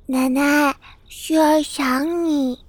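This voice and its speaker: A small child in an ancient Chinese audio drama. The voice is high and very slow, sweet and clingy, with childish phrasing. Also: female voice, fairly even intonation, no echo. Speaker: voice actor in an amateur audio drama.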